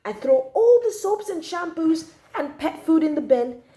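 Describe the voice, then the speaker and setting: A woman voicing an excitable, indignant little girl from a storybook, with emphatic, exaggerated phrasing. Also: very high-pitched, unhurried, voice pushed up, heavily acted. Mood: indignant, exasperated. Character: excitable, indignant little girl telling her own troubles. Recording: echoey room.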